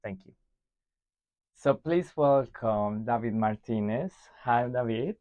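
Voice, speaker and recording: Smooth-voiced male podcast host. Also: medium pitch, lively intonation, slow, no room sound, phone-quality sound. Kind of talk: podcast interview.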